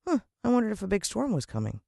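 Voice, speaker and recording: American female storyteller for children's audiobook: highly varied pitch, dramatic emphasis, close dry sound. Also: huge pitch swings, fast talker, vocal fry, warm tone, no room sound. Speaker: audiobook narrator. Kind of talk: expressive children's story narration.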